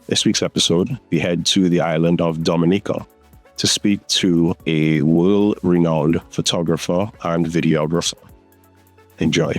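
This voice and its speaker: A man's voice: low-pitched, fairly even intonation, unhurried, soft background music. A man hosting a podcast, introducing the show and asking friendly, upbeat questions. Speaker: podcast host.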